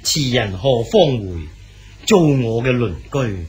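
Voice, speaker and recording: An actor playing a cultured Song dynasty poet and prefect, speaking Cantonese and reciting verse slowly. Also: male voice, mid-range voice, big pitch swings. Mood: genial. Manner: measured, declaims poetry slowly. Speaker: Su Dongpo character in a radio drama. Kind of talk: 1980s style Cantonese radio drama acting.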